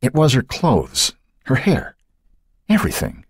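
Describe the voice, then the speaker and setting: A man's voice: mid-range voice, wildly swooping pitch, unhurried, slightly rough, no echo, studio quality, pitched above the performer's own voice, full character voice. A man playing a distraught, protective father in an audiobook thriller, with an emotional, strained delivery. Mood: shaken, bewildered.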